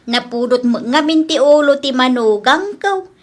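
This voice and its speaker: A woman playing an aging, plaintive mother-in-law in an Ilocano comedy drama, with drawn-out exclamations and an Ilocano accent. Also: sits high, lively intonation. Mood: indignant.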